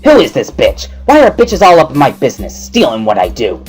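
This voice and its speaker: A man voicing a paranoid, excitable male student in a visual novel reading, agitated, suspicious and ranting. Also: medium pitch, fairly quick, husky, soft background music, voice pushed up, heavily acted. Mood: indignant.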